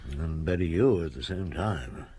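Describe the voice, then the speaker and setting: An actor playing a courteous, gruffly patient middle-aged chief inspector in an audio drama, asking questions with polite hesitation. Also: male voice, sits low, animated, measured pace, dark tone, slight background noise. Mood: probing.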